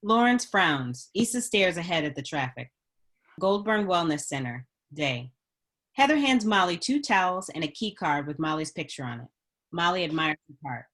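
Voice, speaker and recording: A woman's voice: lowish voice, expressive, normal speed, a bit bright. A woman reading stage directions as scripted narration at a screenplay table read.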